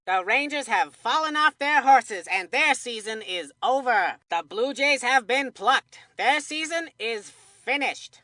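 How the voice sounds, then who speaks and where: An actor voicing a theatrical cartoon baseball play-by-play commentator in a kids' video game, with folksy delivery and drawn-out emphasis. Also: mid-range voice, lively intonation, sharp tone, no echo. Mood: somber, resigned. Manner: exclamatory, folksy old-fashioned exclamations, drawn-out emphasis. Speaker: sports commentator.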